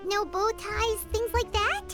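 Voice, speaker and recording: A woman's voice: very high voice, unhurried. A woman voicing a tiny, fretful floating fairy companion in a video game who talks about herself in the third person. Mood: worried. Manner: refers to herself in third person, worried and eager. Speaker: companion character. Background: game music.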